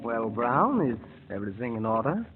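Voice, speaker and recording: An actor playing a scheming, murderous male doctor in an old radio drama, with a smooth, condescending manner. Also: mid-range voice, very animated, moderate pace, lightly acted. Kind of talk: 1940s radio acting. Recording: tinny old radio broadcast with faint hiss.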